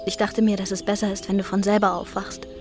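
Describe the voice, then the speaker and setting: An actress playing a calm, devoted and quietly brave young village girl in a German radio play, speaking tenderly. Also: mid-range voice, quick pace, music in the background, lightly acted.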